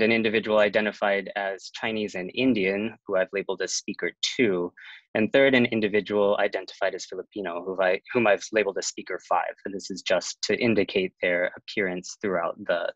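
A man with a lowish voice reads a prepared academic talk in a measured way. The sound is dull and dry, picked up by a webcam mic on a video call. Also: fairly even intonation, a bit bright, dry recording. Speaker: academic presenter.

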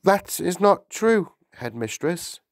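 Man as a polite, firm schoolmistress, raised pitch. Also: very animated, takes it slowly, creaky, dry recording, studio quality, exaggerated voice. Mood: politely defiant. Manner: earnest, formal, politely firm. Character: principled, polite young schoolmistress, quietly defiant.

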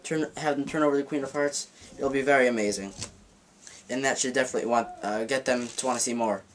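A man talks through a card trick while handling the deck, casual and a bit rambling, often restarting his sentences. His voice has a middle pitch, and the sound comes from a camera mic above a dark table. Faint noise and card clicks sit behind him. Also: fast talker. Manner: rambling, self-correcting, demonstrating while talking. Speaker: magic teacher. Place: dim room at a dark table.

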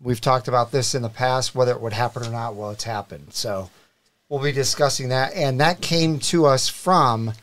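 A man's voice: mid-range voice, moderate pace, a bit bright. A middle-aged man hosting a live car podcast, greeting viewers and reading sponsor plugs in an upbeat way. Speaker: show host. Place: studio set with desk mics. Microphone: desk mic on boom arm.

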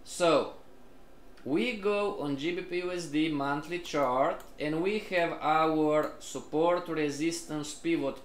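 Slow-paced man teaching in an echoey room. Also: a little high, slight background noise. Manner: repetitive, questioning, addresses the group often. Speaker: trading teacher. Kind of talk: live online lecture, prompting students in chat.

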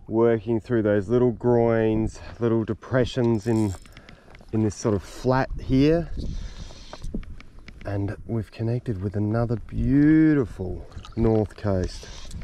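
An Australian man in his thirties talks slowly and easily while wading a sand flat. His voice sits low and warm, and he gushes over each catch in a drawn-out way. Faint outdoor noise is heard behind him. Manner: relaxed, enthusiastic, drawn-out praise. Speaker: fishing show host. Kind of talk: casual outdoor commentary. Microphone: camera-mounted mic, selfie and chest cam.

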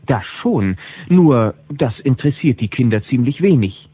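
A man's voice: mid-range voice, sing-song, normal speed, slightly rough, dry recording, narrow, tinny audio. A man narrating a German children's audio drama as a wry, knowing storyteller. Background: music.

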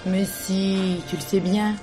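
An actress playing a wily, coaxing Parisian prostitute in a French radio play, speaking colloquially. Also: female voice, medium pitch, fairly even intonation, takes it slowly, music in the background, natural voice. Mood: coy, wary.

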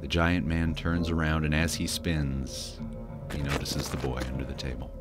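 A man acting a very deep, haunted adventurer. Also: little pitch movement, moderate pace. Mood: grim. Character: haunted young adventurer recounting a childhood dream. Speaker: character narrator in an audio drama. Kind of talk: dramatic first-person storytelling. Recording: dramatic music under the voice.

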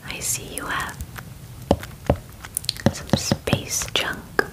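A woman in her twenties doing a softly whispered ASMR roleplay as a calm, methodical alien examiner, soothing and attentive, close to the microphone. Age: 20s. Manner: soft, unhurried, soothing, close personal attention. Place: small indoor bedroom studio.

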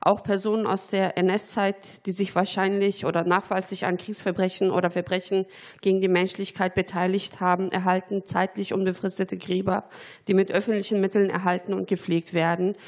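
A woman with a cold speaks in an even, flat tone. She reads formal, prepared questions to a committee witness in a steady, deliberate way. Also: mid-range voice. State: has a cold. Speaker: member of parliament.

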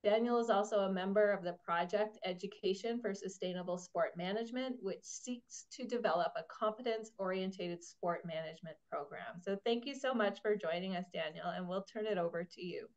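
A woman's voice: mid-range voice, a little fast, few pauses. A woman professor in her office, enthusiastically reading a guest's bio on a video call. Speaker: co-organizer, professor. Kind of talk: formal speaker introduction. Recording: muffled video call audio.

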